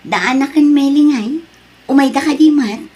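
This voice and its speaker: A woman playing an earnest working mother in an Ilocano drama, with a husky voice and Ilocano accent. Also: high voice, normal speed, a little mellow, close to an everyday voice. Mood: friendly, earnest. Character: Maria, earnest working single mother with a new boyfriend. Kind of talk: Ilocano radio-style drama acting.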